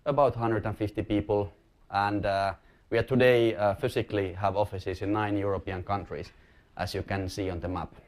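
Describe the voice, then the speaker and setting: A man speaks in a fairly low voice with a Finnish accent. He presents at a conference as a company executive, explaining things informally and a little hesitantly. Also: steady tone, normal speed. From Finland. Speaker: company chief executive giving a talk.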